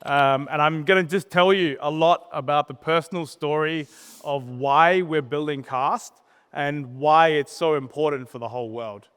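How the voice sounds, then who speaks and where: A man gives an informal, plain-spoken conference talk, telling personal stories with pauses and tag questions. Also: on the high side, a bit bright, clear, resonant tone. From born in Sri Lanka, grew up in Australia. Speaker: startup co-founder, conference speaker. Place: conference stage in a large hall. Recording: reverberant hall PA sound.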